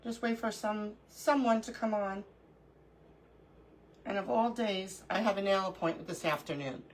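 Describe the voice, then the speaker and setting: A woman's voice: medium pitch, normal speed, poor audio. A middle-aged woman hosting a casual craft livestream, stuffy and sniffling from allergies, chatting with viewers and greeting them by name. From New York.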